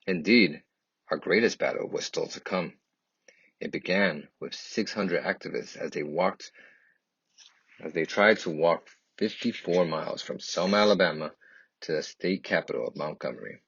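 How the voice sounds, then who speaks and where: A man reads a children's picture book aloud in a husky voice, with expressive, emphatic and dramatic phrasing. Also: low-pitched, moderate pace. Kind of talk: expressive read-aloud storytelling. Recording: dull, lo-fi, echoey room.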